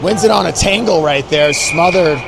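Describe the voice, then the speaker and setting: A man doing play-by-play for a youth hockey game, folksy and excitable, shouting on the big plays. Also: on the high side, normal speed, creaky. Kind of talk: amateur play-by-play commentary. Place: rink arena, up in the stands. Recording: loud arena crowd and noise. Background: loud crowd and rink noise.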